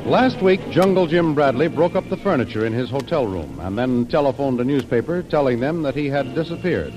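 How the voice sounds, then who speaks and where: A man's voice: mid-range voice, lively intonation, fairly quick, music in the background, rough recording. An American man narrating an adventure serial as announcer, in dramatic scripted 1930s style on an old radio recording.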